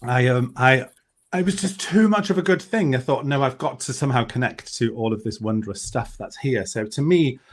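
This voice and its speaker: A man's voice: medium pitch, normal speed. A middle-aged Welsh man, Welsh his first language, talking enthusiastically and with self-deprecating humour on a video call panel. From Wales. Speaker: author and druid, panelist. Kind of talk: casual panel talk. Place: home room.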